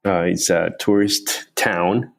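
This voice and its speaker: Low-voiced man. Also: fairly even intonation, slow, vocal fry, faint music behind. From Colombia. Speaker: guest, company founder. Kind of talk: interview answers.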